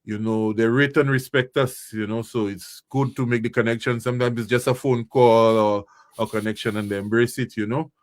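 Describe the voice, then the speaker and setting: A man's voice: mid-range voice, steady tone, fairly quick. A man hosting a casual interview, speaking in an encouraging, affirming way.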